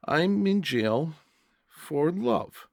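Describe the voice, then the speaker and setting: A man voicing a kind, serene male prisoner in a story reading, speaking softly, slowly and with tender reassurance. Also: on the high side, lively intonation, no echo, studio quality, voice pushed up, heavily acted. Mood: calm, content. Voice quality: soft, kind voice. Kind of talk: dramatic short-story reading.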